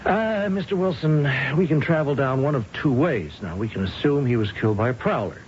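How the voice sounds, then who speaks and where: Actor as probing police lieutenant, muffled radio recording. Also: male voice, medium pitch, expressive, darkish tone, a little hiss or hum, lightly acted. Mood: patient, measured. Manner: hesitant, polite, methodical questioning with ums and pauses. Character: unhurried, probing male police lieutenant questioning a suspect. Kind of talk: old-time radio drama acting.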